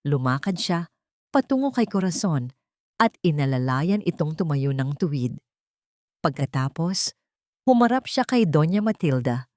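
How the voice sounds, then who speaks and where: A woman narrating a dramatic audio story with expressive, swinging intonation. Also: low-pitched, unhurried, pauses often, no room sound, clean studio sound.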